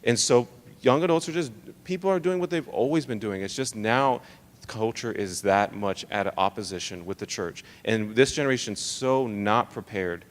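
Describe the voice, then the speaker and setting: A man's voice: lowish voice, moderate pace. A young American man giving an informal, emphatic live talk to church leaders, conversational and slangy, often pressing rhetorical questions. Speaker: speaker leading a church leadership training session. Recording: live talk in a reverberant hall.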